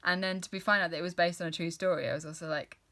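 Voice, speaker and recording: British woman, 30s, rambly chatty book talk, slightly low voice, lots of ums. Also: steady tone, very fast, bright, forceful tone. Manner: chatty, rambling, enthusiastic with frequent fillers. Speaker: book vlogger. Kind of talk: casual book review chat.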